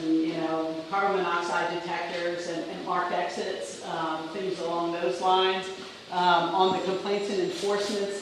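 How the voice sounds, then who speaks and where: A county staff facilitator summarizing public feedback at a community meeting, talking loosely with many ums and restarts. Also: medium pitch. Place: large indoor meeting room. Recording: echoey hall, distant mic, faint noise.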